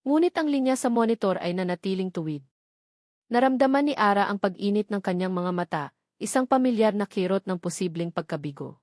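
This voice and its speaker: A woman narrating a scripted story in a measured, sentimental storybook delivery. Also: mid-range voice, animated, a little mellow, no room sound, clean studio sound.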